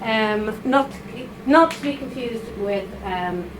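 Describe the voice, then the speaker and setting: A woman giving a chatty, informal talk to a live audience, full of asides, fillers and quick "okay?" checks. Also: fairly high pitch. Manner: chatty, animated, with frequent fillers and tag questions. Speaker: writer giving a reading. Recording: audible background noise.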